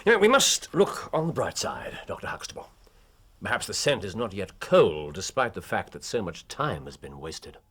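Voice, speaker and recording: An actor playing a shrewd, ironic gentleman detective in a period drama, questioning in a precise, theatrical way. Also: on the low side, very animated, moderate pace, a little hiss or hum. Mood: brisk, thoughtful.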